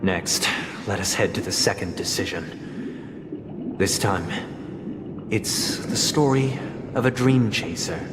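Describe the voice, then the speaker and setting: An actor playing a solemn, courteous man in a video game, telling tragic tales in formal, measured, theatrical speech. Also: fairly low pitch, very noisy. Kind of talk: scripted dramatic game monologue. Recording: echoey hall, loud game music behind.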